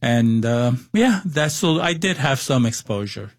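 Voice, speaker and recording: A man in his sixties speaks with a Cuban Spanish accent. He talks slowly and haltingly, with plenty of fillers and restarts, as he tells his story to an interviewer. The recording is dry and close. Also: medium pitch, big pitch swings, dry recording. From Cuba. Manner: hesitant, many fillers and restarts. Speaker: guest. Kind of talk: personal testimony interview.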